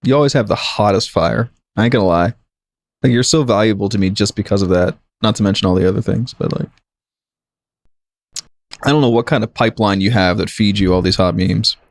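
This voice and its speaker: Low-voiced man chatting on a game stream. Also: fairly quick, no echo, studio quality. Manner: analytical, thinking aloud, jokey. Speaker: livestream gamer, host. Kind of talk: casual livestream chat and game commentary.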